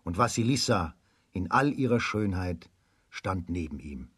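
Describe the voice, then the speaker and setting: A man giving measured, scripted storytelling narration for a children's radio drama. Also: low-pitched, lively intonation, fairly bright tone, breathy edge, no echo, studio quality.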